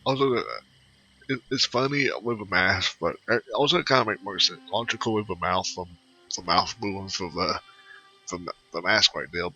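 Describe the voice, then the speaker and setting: A man giving casual game playthrough commentary in a rambling, halting way, often repeating himself. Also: deep voice, bright, forceful tone, breathy edge, rough recording. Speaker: gaming video host.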